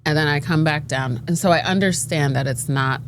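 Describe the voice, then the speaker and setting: A woman's voice: sits low, fairly quick, slight background noise. A middle-aged woman talking animatedly in a casual group discussion, emphatic and laughing between points. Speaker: panelist.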